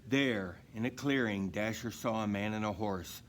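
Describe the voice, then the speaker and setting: A man reading a children's story aloud in an expressive narrating style. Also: fairly low pitch, moderate pace, a little hiss or hum. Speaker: storybook reader.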